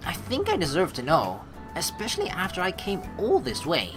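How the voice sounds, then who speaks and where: A young woman reading a visual novel aloud with joking, swearing asides, animated and quick to laugh. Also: on the low side, over music. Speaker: let's play streamer reading a visual novel.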